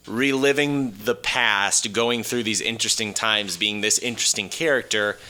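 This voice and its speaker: A man's voice: lowish voice, fairly even intonation, normal speed, sharp tone. A man hosting a casual podcast discussion of films and games, talking in an emphatic, opinionated way. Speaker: podcast host. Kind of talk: casual film and video game discussion.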